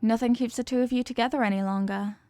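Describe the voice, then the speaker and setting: A woman voicing a possessive, regal evil queen in a scripted ASMR roleplay, speaking formally and in measured phrases, doting yet coolly manipulative. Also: on the high side, steady tone, no room sound, close to an everyday voice. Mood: coolly dismissive. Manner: formal, measured, softly commanding.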